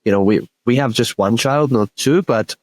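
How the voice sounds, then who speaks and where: A man's voice: mid-range voice, moderate pace, no echo. A man whose first language is Polish, hosting an interview in casual chat and often repeating words as he thinks. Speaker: podcast host.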